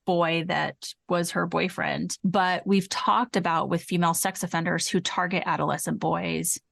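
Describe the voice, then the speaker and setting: A woman's voice: lowish voice, steady tone, normal speed, gravelly, fairly bright tone, no room sound. A woman co-hosting a true-crime podcast, talking casually and conversationally. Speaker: podcast co-host.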